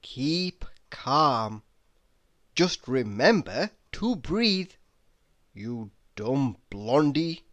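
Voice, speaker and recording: A person reading mock-inspirational quotes aloud in a deliberate, dramatic manner, pausing between phrases. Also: medium pitch, very animated, lo-fi sound. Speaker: reader of quotes.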